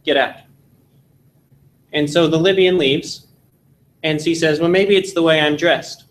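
A man from Texas in his forties, giving an animated classroom lecture and telling jokes in a seminary meeting room. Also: fairly high pitch, measured pace. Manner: animated storytelling with jokes, gesturing. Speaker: seminar instructor.

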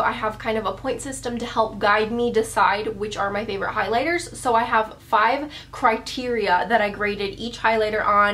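A woman in her twenties with a congested cold, chatting to camera as she reviews makeup in her bedroom, with quick self-deprecating asides. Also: fairly high pitch, a bit bright, a little hiss or hum. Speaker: beauty vlogger. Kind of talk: casual product review to camera. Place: bedroom vanity, indoors.

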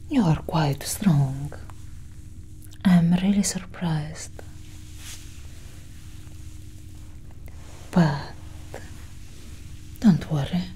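Woman playing a whispering, slow alien scientist. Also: low voice, slight background noise. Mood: gently approving. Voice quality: whispery, breathy. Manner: slow, hushed, reassuring. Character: calm, condescending female alien scientist, soothing her abductee. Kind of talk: soft-spoken ASMR roleplay. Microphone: close ASMR microphone.

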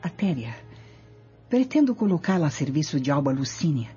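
An actress playing a vengeful, scheming Roman noblewoman in a radio drama, speaking imperiously and deliberately. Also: low voice, sing-song, mellow, breathy edge, soft background music, exaggerated voice.

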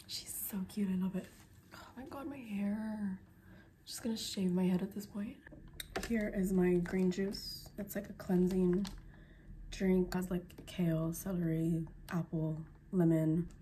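A woman's voice: on the low side, steady tone, unhurried, mellow, a little hiss or hum. A young woman in her twenties vlogging casually from her bedroom, sounding congested after being sick, chatty and full of "like", sometimes dropping to a whisper. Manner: chatty, lots of "like", drops to whispers. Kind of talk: casual vlog chat. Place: bedroom at night. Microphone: phone camera held close.

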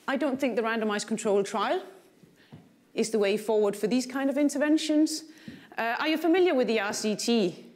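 A middle-aged woman with a Danish accent, a native Danish speaker, gives a keynote lecture. She walks the stage on a lapel mic in a large conference hall, adds dry asides, and puts questions to the audience. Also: on the high side. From Denmark. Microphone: lapel mic, walking the stage.

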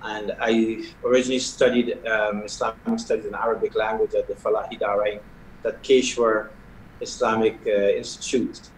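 A middle-aged man from Guyana, a prison chaplain, answering questions in a measured way with hesitations over a video call. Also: on the low side, flat delivery, a little hiss or hum. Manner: measured, soft-spoken with hesitations. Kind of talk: interview answers. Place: video call from an indoor hall. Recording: echoey video call audio.

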